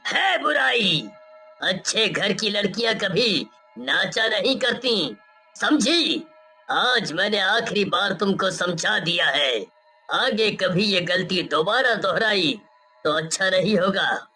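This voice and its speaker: A woman's voice: mid-range voice, animated, slow, sharp tone, husky, soft background music, pitched below the performer's own voice, full character voice. A woman voicing a stern, angry village father in a cartoon story, scolding and commanding. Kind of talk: Hindi cartoon story acting.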